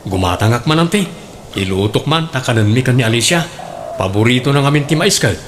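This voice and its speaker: A man's voice: mid-range voice, animated, brisk, noisy background, lightly acted. A man with an Ilocano accent playing a frank adult son in a comedic radio drama. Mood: matter-of-fact. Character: adult son, frank and casually matter-of-fact.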